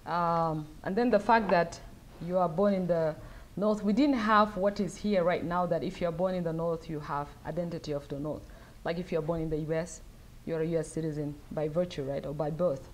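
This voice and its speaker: A middle-aged woman from South Sudan answering audience questions at a lectern, speaking thoughtfully with many pauses and fillers. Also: fairly low pitch, steady tone. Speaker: guest speaker. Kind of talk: lecture Q&A answers. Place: wood-paneled event room, at a lectern. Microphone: lectern mic.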